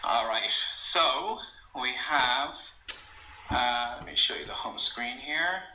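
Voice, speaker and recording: Man, young adult, casual app demo, very bright sharp tone, slightly muffled audio. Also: medium pitch, slow pace, slight background noise. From Ireland. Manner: relaxed, meandering, with frequent ums and uhs. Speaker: language blogger. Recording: roomy, slightly dull home recording.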